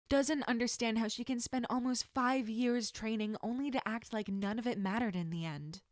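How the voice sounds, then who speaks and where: A woman reading scripted fiction aloud as an expressive narrator. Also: fairly high pitch, dry recording, studio quality.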